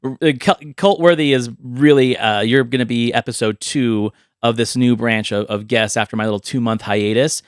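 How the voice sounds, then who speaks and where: A man's voice: mid-range voice, normal speed, hardly any pauses, clear, resonant tone, no room sound, studio quality. A man hosting a podcast in a casual, chatty way, explaining things conversationally as he goes. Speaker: podcast host.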